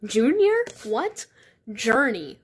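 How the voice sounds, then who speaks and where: A woman's voice: fairly high pitch, very animated, slow, husky. Woman streamer, stuffed-up nose, casual chat, reads questions aloud, laughs easily. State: stuffed up, sniffly.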